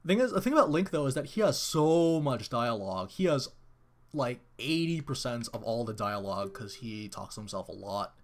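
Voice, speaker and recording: A man chatting casually to viewers on a live game stream, excitable and rambling, with many restarts. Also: mid-range voice, moderate pace. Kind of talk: casual live-stream chat while playing.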